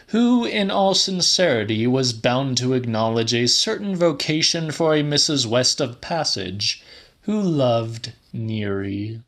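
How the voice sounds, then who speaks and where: A man narrating a literary audiobook in a measured, deliberate and slightly dramatic style. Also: mid-range voice.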